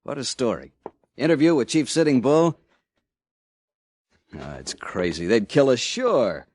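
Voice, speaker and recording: An actor playing a blunt, wary frontier newspaperman in a 1950s radio drama, with a gruff casual drawl. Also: medium pitch, sing-song, a little slow, a little mellow, no room sound, clean studio sound.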